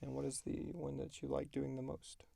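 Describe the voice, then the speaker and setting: A man's voice: mid-range voice, flat delivery, moderate pace, vocal fry, dark tone, no echo. A man hosting a podcast in a casual, chatty style. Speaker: podcast host and voice actor. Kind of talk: casual chat. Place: recording booth.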